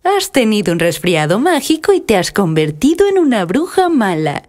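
A woman playing a kindly, reassuring teacher of magic in a children's cartoon, calm and helpful in manner. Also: medium pitch, normal speed, lightly acted. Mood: calm, explaining. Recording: loud children's music underneath.

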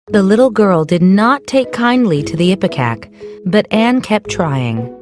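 A woman giving scripted narration for a children's story in a clear storytelling delivery. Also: medium pitch, lively intonation, measured pace, music in the background.

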